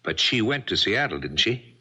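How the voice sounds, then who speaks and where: An agitated, guilt-ridden husband in a seventies radio mystery. His voice is forceful and swings widely in pitch. He speaks haltingly, pressing each point with suspicion and urgency. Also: very animated, husky, exaggerated voice. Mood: accusing. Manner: urgent, halting, repeats himself. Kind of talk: 1970s radio mystery acting.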